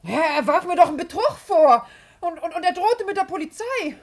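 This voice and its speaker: A woman's voice: extremely high pitch, sing-song, fairly quick, full character voice. An actress playing a distraught, defensive female bookkeeper in a 1950s German radio drama, stammering and self-righteous. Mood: flustered, defensive. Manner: flustered, stammering, repeating words.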